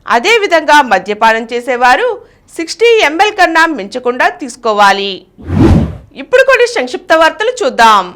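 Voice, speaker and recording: A woman news reader with a very high voice. Her pitch moves in lively swings as she delivers scripted bulletins in a formal announcing style. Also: fairly quick.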